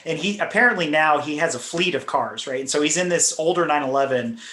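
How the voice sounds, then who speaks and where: A podcast host chatting about cars in a slightly raised voice, lively and quick to laugh. His voice rings with a roomy echo. He tells stories with plenty of enthusiasm. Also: a little high. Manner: animated storyteller, laughs often. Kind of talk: casual chat.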